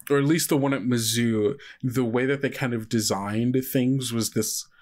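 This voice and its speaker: A man's voice: mid-range voice, steady tone, moderate pace, darkish tone. A man with an American accent who runs a tabletop game, narrating off the cuff in a conversational, rambling way full of asides. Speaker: game master.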